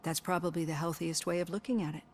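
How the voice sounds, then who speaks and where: Woman as a composed lower-voiced psychologist. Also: steady tone, quick pace, lightly acted. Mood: measured, probing. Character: composed, analytical female psychologist questioning her patient. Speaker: therapist. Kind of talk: scripted game dialogue.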